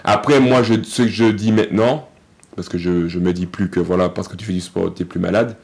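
A man, an athlete guest in a casual podcast interview, talking in a halting way with many fillers and restarts. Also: sits low, fairly even intonation, gravelly, a little mellow.

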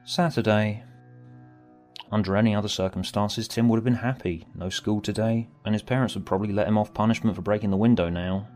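A man's voice: on the low side, steady tone, a little fast, a little mellow. A man reading a scripted story aloud as its narrator, with music behind him. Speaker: author and narrator.

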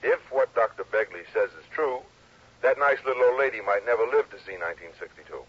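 A quick, slightly low-pitched insurance man, hearty, teasing and cajoling in vintage radio drama style. His husky voice comes through a dull old recording. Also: expressive. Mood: persuasive, grave. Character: chummy, persuasive male insurance company man, adult. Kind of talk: 1960s radio drama acting.

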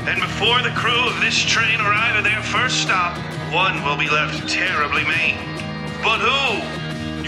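High-voiced theatrical male announcer, echoey. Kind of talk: dramatic scripted next-episode teaser. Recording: echoey, loud music over voice.

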